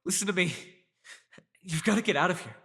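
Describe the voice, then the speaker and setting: A frantic, protective young god, voiced by a man in an audio roleplay. He pleads urgently in a high, sharp, strained voice over faint background noise. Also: a little fast. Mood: urgent, desperate. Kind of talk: roleplay audio drama acting.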